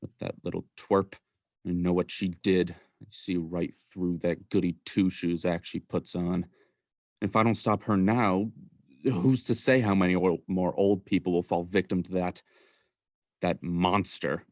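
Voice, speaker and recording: A man's voice: low-pitched, fairly even intonation, a little slow, a little mellow, no room sound, studio quality. A young man playing an indignant, self-righteous son in an emphatic, melodramatic radio play monologue. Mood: bitter. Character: indignant, self-righteous grieving son, bent on exposing a killer. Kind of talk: student radio play acting.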